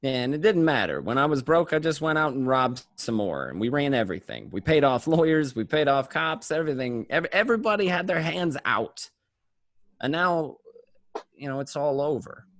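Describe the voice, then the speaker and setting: A man playing a weary mobster turned informant, telling his story in a conversational, streetwise, halting way. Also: fairly high pitch, sing-song, fairly bright tone, no room sound, studio quality. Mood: wistful, boastful. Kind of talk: first-person crime narration, acted table read.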